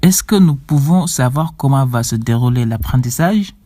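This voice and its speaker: A man hosting a playful show in an animated, emphatic, promotional way. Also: medium pitch, moderate pace, no room sound. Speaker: channel host.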